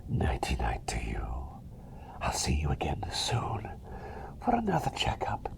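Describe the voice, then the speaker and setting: A man playing a cheery, eccentric, gentle plague doctor in a whispered, rambling and soothing ASMR roleplay. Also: very deep voice, wildly swooping pitch, measured pace, vocal fry, full character voice. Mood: soothing, tender.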